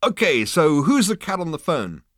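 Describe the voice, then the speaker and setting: A man voicing a cocky, excitable alien hipster in an audiobook, brash and slangy with indignant exclamations. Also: fairly high pitch, moderate pace, dry recording, clean studio sound, pitched above the performer's own voice. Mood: baffled.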